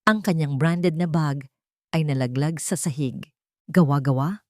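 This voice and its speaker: A woman's voice: low voice, measured pace, darkish tone, dry recording, studio quality. A woman reading a scripted, dramatic story as narrator.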